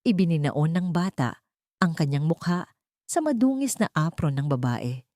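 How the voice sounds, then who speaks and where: A woman reads a dramatic story as narrator. Her voice is low and mellow, and the recording is dry, with no room sound. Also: very animated, normal speed, dry recording.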